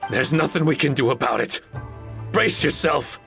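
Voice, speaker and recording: Gravelly, dutiful samurai. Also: male voice, medium pitch, normal speed, a bit bright, soft background music. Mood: puzzled. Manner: solemn, resolute. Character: stoic, dutiful samurai retainer, resigned to humiliation. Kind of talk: video game voice acting.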